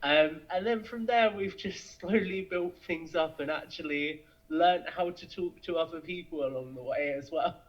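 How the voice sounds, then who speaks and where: A young man with a British accent and a fairly high voice talks as a podcast guest. He is chatty and enthusiastic, and the recording is somewhat lo-fi and dulled. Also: moderate pace, few pauses. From United Kingdom. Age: young adult. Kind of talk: casual chat.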